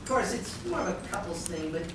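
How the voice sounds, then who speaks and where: A woman playing a breezy, smug rich high schooler in a live radio play. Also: big pitch swings, brisk. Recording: echoey hall from far off, noisy.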